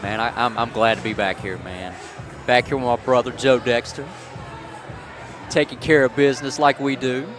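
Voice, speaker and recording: A man's voice: mid-range voice, fairly even intonation, moderate pace, fairly bright tone, soft background music, some chatter behind. A man giving casual, bantering color commentary on a live basketball broadcast. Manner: loose, folksy, bantering. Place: high school gymnasium.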